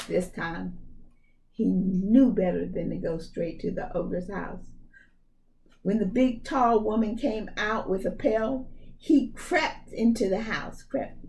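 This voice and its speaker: Female preschool story reader, unhurried, expressive, medium pitch, explains as she goes. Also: creaky, a little hiss or hum. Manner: explains words and asides to young listeners. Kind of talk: expressive storybook read-aloud for young children.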